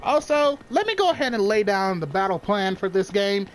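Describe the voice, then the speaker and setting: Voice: mid-range voice, very animated, normal speed. A gamer giving casual let's play commentary, with a nose stuffed up from a cold. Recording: voice-over with game music behind. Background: video game music and sounds.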